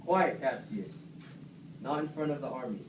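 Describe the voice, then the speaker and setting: A man's voice: medium pitch, lively intonation, moderate pace, lightly acted. Proud animal general read by a man, echoey. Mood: proud, offended. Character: proud, wronged male animal general, Brutus. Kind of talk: amateur read-aloud puppet play. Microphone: far camera. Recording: echoey classroom, distant mic, clear noise.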